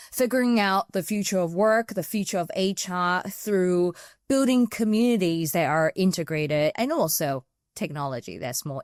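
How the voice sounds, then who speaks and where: A woman's voice: medium pitch, dry recording, studio quality. A woman in her forties, originally from Taiwan and raised in New Zealand, speaking as a podcast guest and HR consultant. She talks reflectively and often circles back to rephrase her ideas. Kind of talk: reflective conversational interview answers.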